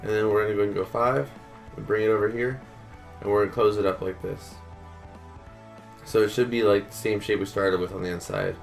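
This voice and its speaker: Low gravelly man, a little sick. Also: a little fast, pauses often, soft background music. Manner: relaxed, counts blocks aloud, many fillers. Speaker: gaming tutorial host. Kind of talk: casual step-by-step tutorial.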